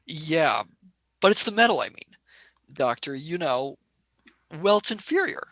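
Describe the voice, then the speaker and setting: A man's voice: on the high side, big pitch swings, measured pace, sharp tone, dry recording, exaggerated voice, poor audio. A man voicing a blunt, skeptical young male space pilot who argues casually in a radio play. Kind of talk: amateur radio play acting.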